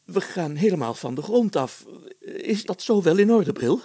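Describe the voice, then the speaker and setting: A man's voice: high-pitched, big pitch swings, normal speed, mellow, slightly rough, dry recording, pitched above the performer's own voice, heavily acted. A man voicing an anxious, blustering village mayor in a storybook, stammering and hesitant, easily thrown into breathless panic. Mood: nervous, pleading.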